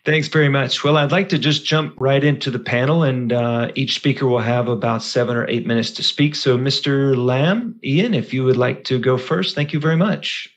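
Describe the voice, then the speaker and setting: A man moderating an academic conference panel, speaking in a measured way with filler uhs. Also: mid-range voice, highs cut off.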